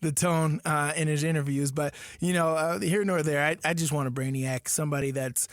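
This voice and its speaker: A man's voice: on the high side, fairly even intonation, fairly quick, no echo, studio quality. A man co-hosting a sports radio show, talking casually and animatedly with lots of fillers and laughs.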